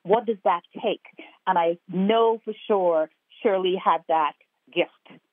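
A woman's voice: on the low side, takes it slowly, dry recording. A woman from Guyana, an actor and playwright, speaking earnestly in an interview and building her points in repeated phrases. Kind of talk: interview over the phone. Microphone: telephone. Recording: tinny phone line.

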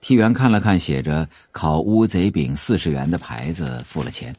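A man's voice: extremely low pitch, sing-song, normal speed, vocal fry, dark tone, breathy edge, dry recording. A man reading scripted narration for an audio drama, telling the story as a novel's narrator. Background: faint music.

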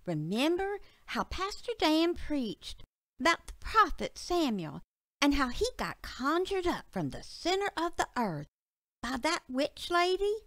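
A woman's voice: high voice, huge pitch swings, measured pace, fairly bright tone, no echo, pitched above the performer's own voice, exaggerated voice. A woman voicing an earnest, imaginative little country girl who rambles breathlessly and pleads with her father in an audio story. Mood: pleading. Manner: childlike, breathless rambling with "like" and "see".

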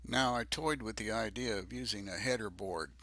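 An older man narrating a DIY project video off the cuff, halting and hesitant, with many ums. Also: fairly low pitch, bright, forceful tone, dry recording. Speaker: DIY presenter.

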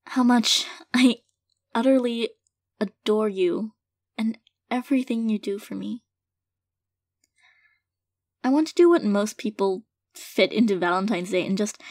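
A woman's voice: medium pitch, expressive, dry recording. A woman playing an affectionate, hesitant girlfriend in an intimate audio roleplay, speaking sincerely and tenderly to her partner. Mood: loving, sincere. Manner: soft-hearted, hesitant, halting, gently sincere. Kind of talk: intimate audio roleplay, casual monologue to listener.

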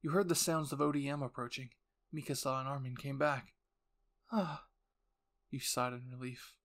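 A man with a medium-pitched voice narrates a scripted roleplay. He tells the story in the second person, with dramatic storytelling delivery. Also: little pitch movement, brisk, a bit bright. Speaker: narrator and channel host.

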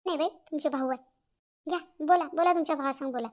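Gossipy cartoon sister-in-law , woman actor: tiny high voice, quick, eager, rural Varhadi accent. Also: a little mellow, lightly acted. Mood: chatty. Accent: Varhadi Marathi from Vidarbha. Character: agreeable, gossipy village wife and sister-in-law. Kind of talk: Marathi cartoon family-drama dialogue.

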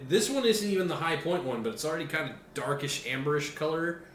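A man's voice: fairly high pitch, animated, fast talker, a bit bright. Casual American man in an echoey kitchen. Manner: informal, profane. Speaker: co-host of a beer tasting show. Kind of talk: casual chat. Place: small kitchen at a table, indoors. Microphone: camera mic across the table. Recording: echoey room, background hiss.

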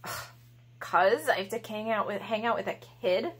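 A woman's voice: mid-range voice, normal speed, slightly rough, pitched below the performer's own voice, natural voice. A woman voicing a grumpy, dismissive talking potato in a picture book, curt and unimpressed. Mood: bored, dismissive. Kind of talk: picture-book read-aloud.

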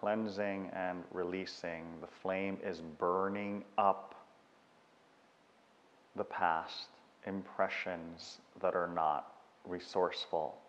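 Slow, very deep-voiced man guiding meditation. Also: flat delivery, a bit bright. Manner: unhurried, measured instruction with long pauses. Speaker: meditation guide. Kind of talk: guided meditation. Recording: dull sound with long reverb.

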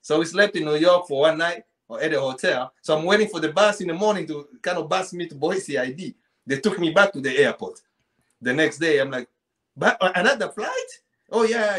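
A man's voice: a little high, lively intonation, brisk, fairly bright tone. A man in his thirties with a Central African accent, telling a personal story in casual chat, repeating phrases and acting out dialogue. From Congo. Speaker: podcast guest, poet. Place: small indoor room at home, video call.

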